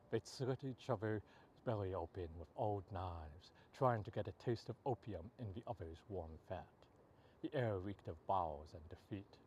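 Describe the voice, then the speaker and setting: A man reading poetry aloud as a poet, in measured, deliberate short phrases. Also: sits low, a little mellow, dull audio, slight background noise. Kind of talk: poetry reading, recited free verse.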